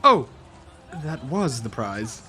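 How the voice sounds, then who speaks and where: A man's voice: medium pitch, sing-song, slow, sharp tone, slightly rough, exaggerated voice. A man playing an awkward, easygoing bard adventurer in an audio drama, with a dry, self-deprecating manner. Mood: casual, wry. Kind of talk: audio drama roleplay dialogue.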